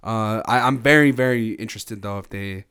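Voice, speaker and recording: A man's voice: fairly low pitch, moderate pace, a bit bright, smooth. A young man co-hosting a casual music podcast, talking loosely with lots of fillers and restarts. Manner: rambling, many fillers and restarts. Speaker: podcast co-host. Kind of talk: casual album review chat.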